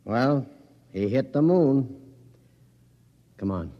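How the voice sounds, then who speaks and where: A man's voice: mid-range voice, animated, drawn-out words, big-room sound, highs cut off. An actor playing a blunt, wry spaceship crewman with clipped delivery, in 1950s radio drama style. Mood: subdued.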